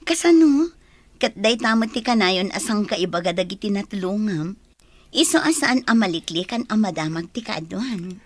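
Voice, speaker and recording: A woman's voice: mid-range voice, expressive, normal speed, natural voice. A Filipino woman in a radio drama playing a devoted, supportive wife with an encouraging manner. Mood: proud, affectionate. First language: Ilocano. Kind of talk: Ilocano radio drama acting. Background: background music.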